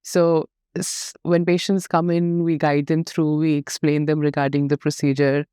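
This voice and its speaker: A woman from Nepal in her thirties, a dental specialist and podcast guest, telling her story in a warm, reflective way with many fillers and restarts. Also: sits low, little pitch movement, normal speed, clear, resonant tone. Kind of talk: conversational podcast interview.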